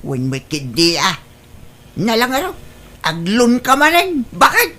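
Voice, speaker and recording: A woman's voice: a little high, huge pitch swings, unhurried, music in the background. A woman voicing a weary, grumbling old husband in an Ilocano comedy drama, with an Ilocano accent and a complaining manner. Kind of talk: Ilocano comedy radio-style drama acting.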